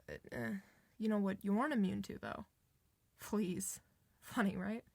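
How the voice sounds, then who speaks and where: Girl acting as a chill werewolf doctor for asmr, reassuring, lots of vocal fry, no room echo at all. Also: mid-range voice, a little mellow. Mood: calm, reassuring. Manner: reassuring, informal, hesitant pauses. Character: friendly, reassuring woman doctor treating werewolves. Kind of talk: ASMR roleplay, one side of a conversation.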